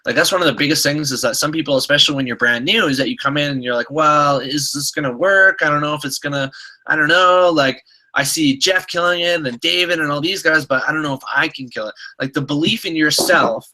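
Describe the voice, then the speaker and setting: Man, stuffed-up nasal voice, bright and forceful, motivational coach, repeats himself, computer mic. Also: on the high side, fairly quick, rough recording. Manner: repetitive, restarting, pep-talk tone. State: stuffed-up nose. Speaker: online marketing coach. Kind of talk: motivational webinar talk.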